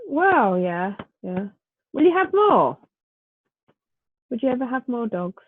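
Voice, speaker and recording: A woman's voice: a little high, very animated, slow pace, husky, dry recording. Tired, stuffy Northern English woman, tinny line. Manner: weary, short replies. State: tired, congested. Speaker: co-host. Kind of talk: casual chat. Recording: dry tinny phone-like line.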